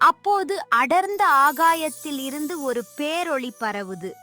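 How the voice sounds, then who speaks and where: A woman's voice: high-pitched, big pitch swings, unhurried, sharp tone, faint music behind. A woman narrator telling a moral story in a dramatic scripted style.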